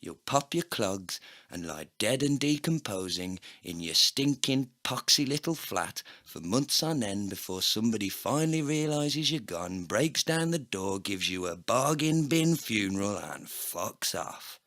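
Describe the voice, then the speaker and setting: A man's voice: lowish voice, animated, measured pace, a bit bright. A man playing a bitter, despairing, foul-mouthed drinker in a comedy audio play, ranting and exasperated.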